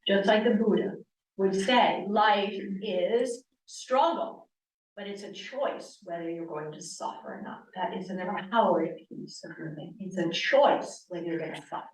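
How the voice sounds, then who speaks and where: A woman's voice: mid-range voice, unhurried, roomy sound, distant mic, poor audio. A woman in her sixties from Connecticut, a yoga teacher giving an earnest church talk full of rhetorical questions. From Connecticut, US East Coast. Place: church hall.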